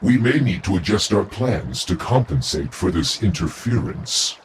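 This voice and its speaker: An actor playing a scheming villain in a video game, speaking in a deliberate, theatrical, formal way. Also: male voice, low-pitched, expressive, slight background noise. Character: deep-voiced, scheming male villain, deferring conspirator.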